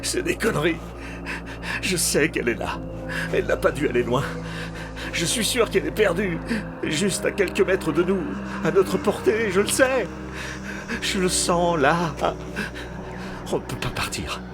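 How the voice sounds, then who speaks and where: A man's voice: on the high side, lively intonation, unhurried, music in the background. A man playing a desperate, stubborn husband in an audio drama, anguished and obstinate. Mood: desperate, insistent. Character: desperate, stubborn husband searching for lost wife. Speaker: voice actor. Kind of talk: French audio fiction acting.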